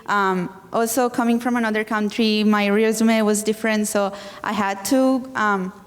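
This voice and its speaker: Halting young woman from Italy. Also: medium pitch, steady tone, clean tone. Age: 20s. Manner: halting, searching for words. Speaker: student panelist. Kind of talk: panel discussion. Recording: long hall reverb.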